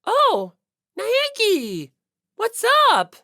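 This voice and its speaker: Woman as high-pitched teen girl, sporty, bubbly, carefree, exclamatory, lively swings, audiobook character, dry sound. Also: extremely slow, no echo, heavily acted. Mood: bright, carefree. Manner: exclamatory, breezy. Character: bubbly, energetic teenage girl athlete, cheerful and carefree.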